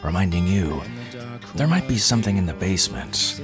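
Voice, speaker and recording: A man's voice: sits low, very animated, normal speed. A man hosting a fiction podcast, reading a scripted sign-off. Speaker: podcast host. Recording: music playing behind the voice.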